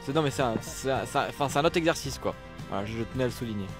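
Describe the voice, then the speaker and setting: A man with a middling pitch speaks tentatively and hedges his views in a casual chat. Music plays clearly behind him. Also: lively intonation, rapid-fire. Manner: tentative, hedging. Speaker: band member. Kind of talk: casual festival interview.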